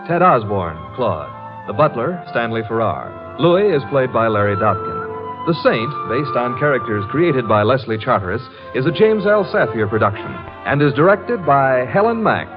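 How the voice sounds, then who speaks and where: Brief: male announcer, lively pitch, muffled old broadcast with music under him. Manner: crisp, polished announcer delivery. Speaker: radio announcer. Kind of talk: 1950s radio announcing. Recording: dull lo-fi 1950s radio broadcast with music.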